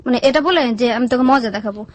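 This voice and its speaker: A woman's voice: fairly high pitch, fast, no room sound. A young adult woman with a Bangladeshi Bengali accent telling her life story in a colloquial, plain-spoken way as an interview guest.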